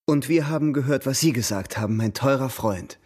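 Actor as a husky, cheerful young charmer. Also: male voice, medium pitch, brisk, darkish tone, lightly acted. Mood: teasing. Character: cheerful, charming young bridegroom, lightly teasing. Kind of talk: German radio drama acting.

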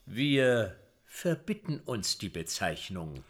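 An actor in a German radio play as a pompous, calculating agent making clipped official pronouncements. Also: male voice, lowish voice, very animated, slow, exaggerated voice. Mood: officious. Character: pompous, calculating male slogan-hunting agent. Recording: loud music behind.

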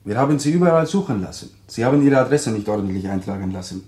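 A man acting a brisk, dryly ironic consular official, formal and instructing, in a German radio drama. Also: on the low side, lively intonation, a little mellow, roomy sound, natural voice. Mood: officious.

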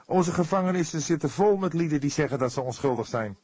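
A man voicing a sharp, sceptical, hot-headed young police detective in an audiobook. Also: on the high side, lively intonation, fast, husky, no room sound, pitched above the performer's own voice. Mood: scornful, angry. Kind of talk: audiobook character dialogue.

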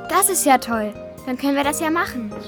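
High-voiced earnest schoolgirl. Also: lively intonation, fairly quick, music in the background. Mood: concerned, eager. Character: helpful, sympathetic young schoolgirl. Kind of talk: children's radio play acting.

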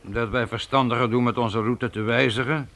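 An actor playing an earnest, hesitant leader of weary pioneer settlers in a radio drama, polite and measured. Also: male voice, mid-range voice, steady tone, fairly bright tone, close to an everyday voice. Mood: doubtful, yielding.

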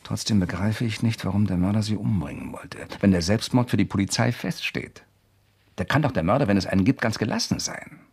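A persistent, doubtful writer turned investigator, questioning in a very deep, husky voice with gravelly fry. He speaks in a probing, hesitant way, as in a radio thriller. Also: a little fast. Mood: probing, sceptical. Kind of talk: German radio crime drama acting.

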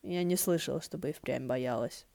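A woman voicing a dry, secretive male earth deity in a fan-fiction audiobook, terse and deadpan. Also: a bass voice, moderate pace, creaky, no room sound, pitched below the performer's own voice. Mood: deadpan, laconic. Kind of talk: audiobook character voice.

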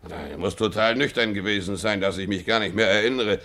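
An actor in a German radio play voicing a befuddled, forgetful, boozy sea captain with a gravelly voice. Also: male voice, on the low side, fast talker, a bit bright, close to an everyday voice. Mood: baffled.

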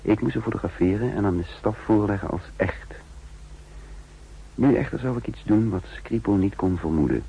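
Low-voiced, sardonic counterspy chief. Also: male voice, moderate pace, husky. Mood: secretive narration. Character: shrewd, sardonic male counter-espionage chief with secret schemes. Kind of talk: 1960s Dutch radio drama acting with narration. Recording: dull, noisy 1960s radio recording.

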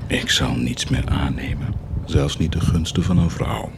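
A man acting a bitter, domineering bastard warlord in a radio play, brooding and deliberate, with a cruel edge. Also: rumbling low, lively intonation, heavily acted. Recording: clear background noise and ambience.

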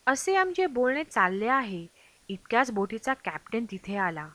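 A woman's voice: on the high side, big pitch swings, moderate pace, a bit bright. A woman reads a travel memoir as an audiobook. She narrates from a script, and the sound is very dry, with no room echo. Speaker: audiobook reader.

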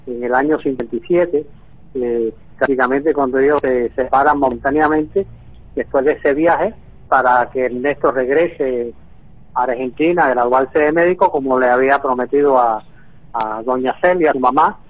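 Hombre de sesenta y tantos por teléfono. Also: male voice, mid-range voice, dry recording. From Venezuela. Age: 60s. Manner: measured, hesitant with frequent fillers. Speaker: guest. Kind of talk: phone interview. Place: in Havana, Cuba. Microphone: telephone. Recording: tinny phone line.

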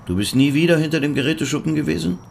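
A man's voice: a little high, very fast, creaky, slight background noise. A man playing a patient, sceptical older investigator near retirement, asking probing questions in a naturalistic radio drama. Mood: patient, curious.

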